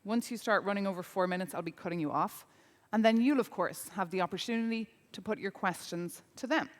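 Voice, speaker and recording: Irish woman moderating in a hall. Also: mid-range voice. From Ireland. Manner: upbeat, welcoming, brisk instructions. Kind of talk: conference moderating. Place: large conference hall. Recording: reverberant conference hall.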